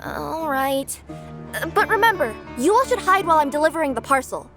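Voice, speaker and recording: An earnest young courier girl with two cat tails, voiced high and lively. She talks in a businesslike way about her deliveries, with game music clearly audible behind her. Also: fairly quick.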